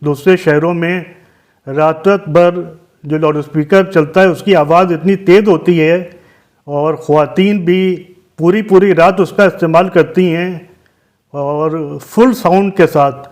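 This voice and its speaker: High-pitched man, Lucknow Urdu accent. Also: darkish tone. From Lucknow, Uttar Pradesh, India. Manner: deliberate, emphatic repetition, explains with synonyms. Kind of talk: religious guidance talk.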